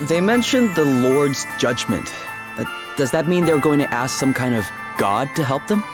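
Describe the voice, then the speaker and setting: An actor playing an earnest, blunt young adventurer in a video game, speaking informally. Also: male voice, mid-range voice, animated, moderate pace, lightly acted. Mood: wary, puzzled. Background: music.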